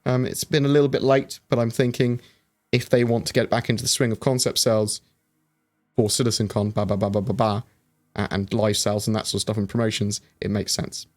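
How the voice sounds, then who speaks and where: A man's voice: on the low side, flat delivery, fairly quick, a little mellow, faint music behind. Chatty man answering livestream chat. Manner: chatty, reads viewer questions aloud and answers with many fillers. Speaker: streamer answering chat questions. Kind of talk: casual livestream Q&A.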